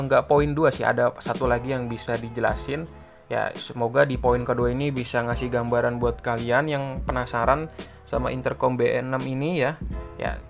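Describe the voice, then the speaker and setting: A man's voice: medium pitch, creaky. A man gives a casual, unscripted product explanation, rambling and repeating himself with informal filler tags. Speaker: product reviewer and online seller. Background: background music.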